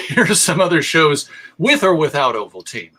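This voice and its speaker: Lecturer, male, American: slightly high, very bright voice, articulate storytelling delivery with dramatic emphasis. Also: sing-song, unhurried, husky. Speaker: presenter, radio show host. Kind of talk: illustrated history lecture.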